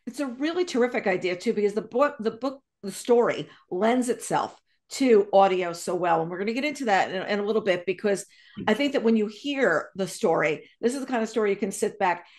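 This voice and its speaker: Middle-aged woman, American accent, medium pitch, casual interviewer, conversational, video call. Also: animated, a little fast, darkish tone. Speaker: interview host. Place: home room with bookshelves.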